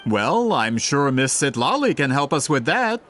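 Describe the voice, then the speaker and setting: A man's voice: mid-range voice, big pitch swings, unhurried, a bit bright, a little hiss or hum. A man voicing a courteous, eager and persistent merchant in a game cutscene. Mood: polite. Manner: courteous, eager, slightly awkward. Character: polite eager male merchant, brisk and persistent.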